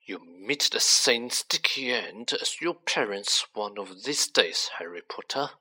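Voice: medium pitch, huge pitch swings, slow, pushed and bright, breathy edge, voice pushed up, heavily acted, rough recording. A storybook reader voicing a haughty aristocratic male villain with a sneering, drawling, menacing manner. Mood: quiet menace.

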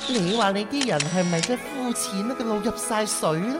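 An actress plays a woman who works in an office and loves gossip. Her is blunt and knowing, with a scornful edge and an average pitch. It is a radio drama with faint music behind. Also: moderate pace, lightly acted. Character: gossipy, scornful woman working at an entertainment company.

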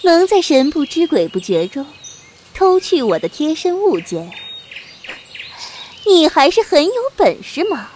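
A woman's voice: sits high, very animated, measured pace, pauses often, a little mellow, a little hiss or hum. A woman playing a teasing, domineering young martial-arts heroine in a wuxia audio drama, mocking and haughty in manner.

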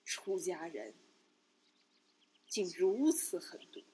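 A woman playing a stern, plain-spoken Buddhist nun in a Chinese palace radio drama, measured, subdued and grave. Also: on the low side, very animated, warm tone, slightly rough, exaggerated voice. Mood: grave, reproachful.

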